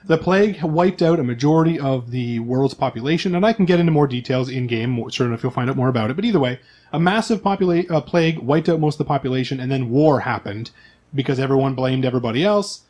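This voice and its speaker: A man's voice: mid-range voice, fast talker, darkish tone. A man speaking as host and game master, explaining things to players and listeners in a chatty, casual way.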